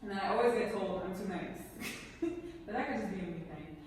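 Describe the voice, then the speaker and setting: A young woman co-hosting a podcast, speaking in a reflective, soft-spoken way and laughing often. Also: medium pitch, expressive, fairly quick, a little hiss or hum. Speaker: podcast co-host. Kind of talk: casual chat. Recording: echoey room, far from mic.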